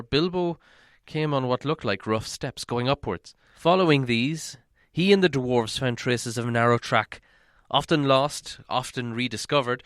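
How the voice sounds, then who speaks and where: A man's voice: mid-range voice, a bit bright, smooth, no echo, clean studio sound. A man narrating a fantasy novel aloud as an audiobook reader. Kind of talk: storybook narration, read aloud.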